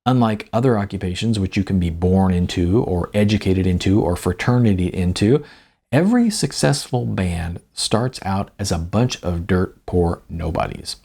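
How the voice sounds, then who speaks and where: A man reading their own first-person story as scripted narration, with deliberate, emphatic phrasing and a gravelly, husky voice. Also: low voice, animated, dark tone.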